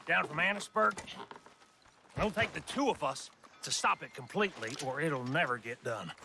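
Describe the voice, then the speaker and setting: A man playing a gruff, impatient American outlaw henchman in a Western video game, rough and plain-spoken. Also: fairly high pitch, lively intonation, normal speed, heavily acted. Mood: businesslike. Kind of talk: dramatic video game acting. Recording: game audio over loud outdoor noise.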